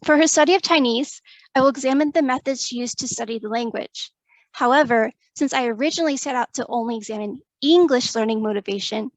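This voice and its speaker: A woman's voice: fairly high pitch, no echo, rough recording. Woman reading a prepared research talk. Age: young adult. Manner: careful, measured delivery with occasional restarts. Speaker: graduate student presenter.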